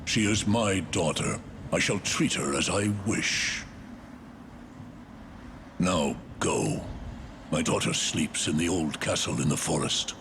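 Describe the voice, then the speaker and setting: A man's voice: low-pitched, lively intonation, slow, creaky, pauses often, background noise, full character voice. A man playing a stern, haughty older king in a fantasy game, imperious and formal. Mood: cold command. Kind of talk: dramatic fantasy game acting.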